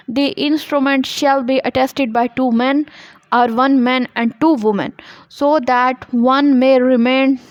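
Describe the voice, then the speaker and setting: A woman with a Pakistani accent giving an academic law lecture, reading out provisions deliberately and explaining them as a teacher would. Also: sits high, dry recording. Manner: slow, deliberate English reading alternating with quicker Urdu explanation. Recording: muffled, dull lo-fi audio.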